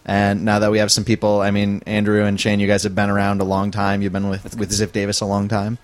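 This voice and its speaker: A man's voice: fairly low pitch, monotone, fast. A man hosting a casual podcast, introducing guests and laughing easily. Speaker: podcast host.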